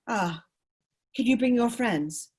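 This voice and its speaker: High, husky, impassioned noblewoman, played by a woman. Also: animated, takes it slowly, a little mellow, heavily acted. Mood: fierce resolve. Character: desperate, devoted young noblewoman wife, fiercely determined. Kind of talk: amateur radio play table read. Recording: computer microphone on online call.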